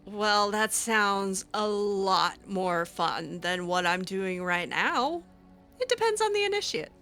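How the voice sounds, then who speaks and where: Animated, bright-voiced woman roleplaying casually. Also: medium pitch, soft background music. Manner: halting, awkward, expressive, breaks into laughs and sighs. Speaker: roleplay gamer, series host. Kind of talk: improvised in-character game roleplay, casual chat.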